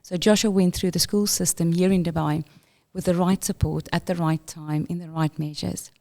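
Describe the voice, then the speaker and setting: Quick-talking South African woman, mellow voice. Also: lowish voice, creaky. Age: middle-aged. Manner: quick, animated storytelling with self-corrections. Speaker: podcast guest, inclusion leader. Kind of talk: conversational interview.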